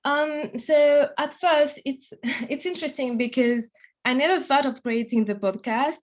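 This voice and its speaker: Podcast guest: woman, 20s, French accent, slightly high voice, cheerful casual chat. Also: measured pace. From France. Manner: upbeat, laughs often, many fillers like "like".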